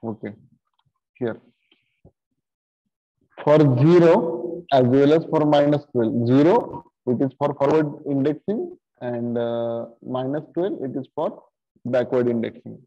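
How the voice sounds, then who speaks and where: A middle-aged man teaching programming over a screen-share video call, talking slowly and haltingly with many fillers and repeated okays. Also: medium pitch, expressive, mellow. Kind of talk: screen-share coding lesson. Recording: dull lo-fi sound.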